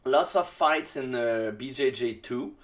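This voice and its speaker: A man with a Québécois French accent, a native French speaker, giving casual solo jiu-jitsu commentary in halting English full of ums and uhs as he searches for words. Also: mid-range voice.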